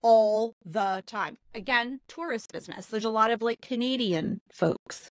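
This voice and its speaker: A woman's voice: a little high, measured pace, no echo, studio quality. A woman co-hosting a podcast, conversational and tossing in joking asides. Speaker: podcast co-host. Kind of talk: casual chat.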